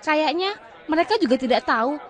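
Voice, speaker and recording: A woman's voice: high-pitched, animated, brisk. A woman playing an eager, outspoken citizen speaking up emphatically at a public forum with a crowd around. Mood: indignant. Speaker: audience member. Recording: crowd noise behind.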